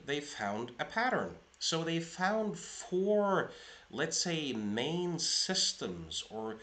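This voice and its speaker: A middle-aged man from Norway, a psychotherapist, giving a measured, explanatory solo talk to camera. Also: mid-range voice, bright, forceful tone. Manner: measured, explanatory, thinking aloud. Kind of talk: solo video lecture.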